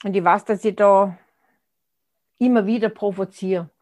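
A woman. Her pitch sits at a middling height. She talks thoughtfully in an interview, pausing and restarting as she finds her words. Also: steady tone, darkish tone. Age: 60s. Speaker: interview guest. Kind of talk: reflective conversational interview.

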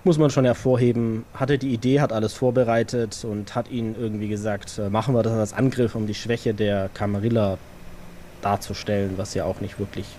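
A man playing an earnest vampire scout in an audio drama, reporting in a halting, matter-of-fact, self-correcting way. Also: fairly low pitch, little pitch movement, warm tone, natural voice. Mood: explaining, evasive. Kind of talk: audio drama acting, improvised feel. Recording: background noise and ambience.

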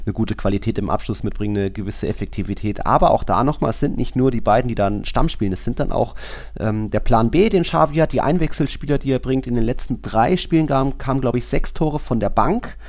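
A man's voice: lowish voice, darkish tone. A man co-hosting a football podcast, discussing matches and players in an informal, colloquial, casual style.

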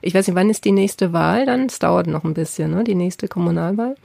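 A woman's voice: lowish voice, a little fast, vocal fry, dark tone, no room sound. A woman hosting a podcast, interviewing and addressing listeners in a conversational style.

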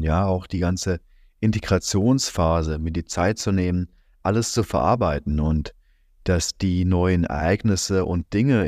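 A man hosting a reflective solo podcast, speaking calmly with many fillers, his voice slightly strained from being a little under the weather. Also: low voice, no echo, studio quality. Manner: calm, musing, many fillers and "ja". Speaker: podcast host.

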